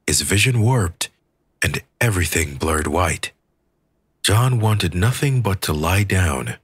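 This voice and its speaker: Deep, husky male audiobook narrator. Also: animated, takes it slowly, no room sound. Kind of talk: scripted fiction narration.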